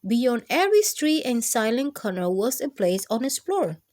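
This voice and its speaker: A woman hosting a children's storytime, reading picture books aloud expressively with drawn-out emphasis for young listeners. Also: fairly high pitch, big pitch swings, a little mellow. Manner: drawn-out, emphatic delivery addressed to small children.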